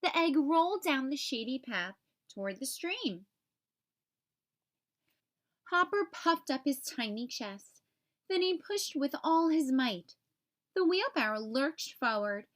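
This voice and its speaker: A woman reading a children's picture book aloud as an expressive storyteller for young listeners. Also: sits high, slow.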